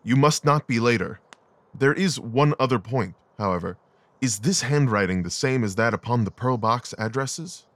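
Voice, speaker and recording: A man playing a brilliant, coolly rational gentleman detective in an audio drama, speaking in a precise, clipped, analytical way. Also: medium pitch, lively intonation, moderate pace, lightly acted. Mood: brisk, analytical.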